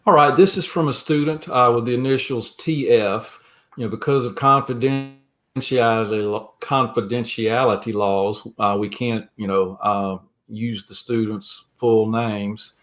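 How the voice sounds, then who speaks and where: A man speaking as an interview guest, reflective and measured, with a gentle, earnest manner. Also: medium pitch, steady tone. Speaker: guest, creative writing teacher. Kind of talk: reflective interview talk and poem reading.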